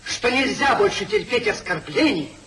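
An actor playing a self-righteous, hypocritical gentleman in a 1950s radio comedy, formal, unctuous and emphatic. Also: high voice, lively intonation, normal speed. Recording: distant, faintly noisy 1950s radio recording.

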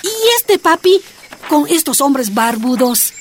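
A woman's voice: high-pitched, expressive, takes it slowly, a little hiss or hum, heavily acted. A woman playing a feisty, stubborn Bolivian miner's wife in a radio drama, with a Bolivian accent and cheeky delivery. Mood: curious, eager. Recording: music behind the voice.

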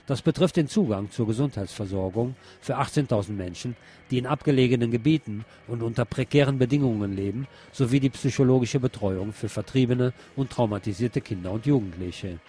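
A man's voice: mid-range voice, a little fast, mellow. A man reading scripted news narration and commentary as a presenter.

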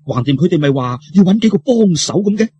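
A man narrates martial arts fiction with a Cantonese accent, chatting straight to his listeners. His voice is husky and swings widely in pitch, recorded close and dry. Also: expressive, fast talker, warm tone, no room sound. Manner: colloquial, interjecting, addresses listeners. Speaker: storyteller.

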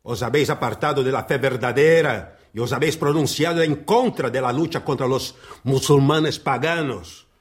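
An actor playing a proud, weary writer with a haughty, biting manner in a radio play. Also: male voice, mid-range voice, brisk, hardly any pauses, close to an everyday voice. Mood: angry, disdainful. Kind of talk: radio play acting in Spanish.

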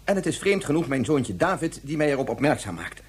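An actor playing an earnest scientist in a science fiction radio drama, arguing in a formal, deliberate way. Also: male voice, fairly high pitch, sing-song, slight background noise. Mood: matter-of-fact. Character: earnest male scientist and father, insistent, methodical.

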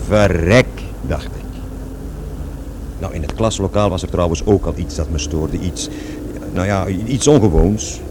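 A sore, rambling man in a radio play, kinda deep, keeps correcting himself, lots of noise behind. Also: measured pace. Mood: agitated, reflective. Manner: digressive, self-correcting, repeats words emphatically. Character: aggrieved, rambling man recalling his overbearing father. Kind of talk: radio play dialogue, conversational storytelling.

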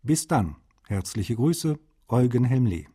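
A man's voice: medium pitch, big pitch swings, slow pace, dark tone, slightly rough, full character voice. An actor reading aloud as a courteous, businesslike male translator in a dramatised letter. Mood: polite, eager.

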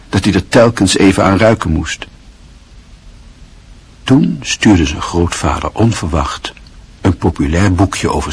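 A man narrating a radio play in a measured, wistful literary style. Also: low voice, breathy edge.

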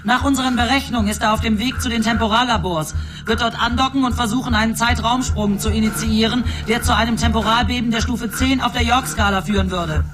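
An actor playing a stern, exasperated space control commander in a German science fiction radio drama, giving urgent military announcements. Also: male voice, very high voice, steady tone, a little fast, over music. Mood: urgent briefing.

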